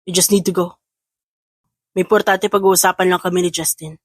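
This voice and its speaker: A woman's voice: lowish voice, flat delivery, brisk, husky, no room sound, studio quality, pitched below the performer's own voice. A woman with a Filipino accent voicing a possessive, brooding man in love in an audiobook romance, terse and mixing two languages. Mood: curt. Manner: terse, switches between English and Tagalog.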